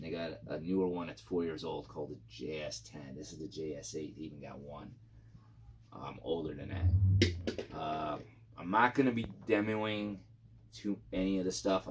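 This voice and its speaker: A middle-aged man hosting a casual video channel, talking informally and haltingly through an overview of gear. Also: deep voice, slight background noise. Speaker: YouTube channel host.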